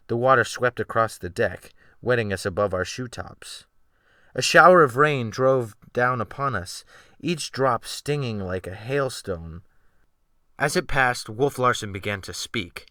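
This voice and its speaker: Bright, forceful male audiobook narrator. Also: lowish voice, no room sound, clean studio sound. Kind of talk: dramatic scripted literary narration. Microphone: close USB microphone.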